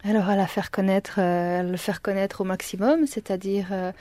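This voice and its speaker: A woman's voice: medium pitch, moderate pace, no echo. A woman publisher and librarian explaining matter-of-factly in a radio interview. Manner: matter-of-fact, even explanation.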